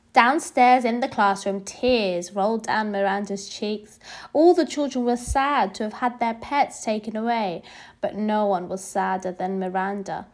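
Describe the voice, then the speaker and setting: A woman reading a children's story aloud in an expressive, deliberate storytelling manner. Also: medium pitch.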